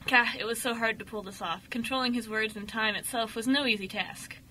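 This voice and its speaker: A woman narrating a scripted radio story dramatically, with expressive, emphatic delivery. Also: fairly high pitch, fairly quick, low-quality recording. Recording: faint noise, amateur radio show recording.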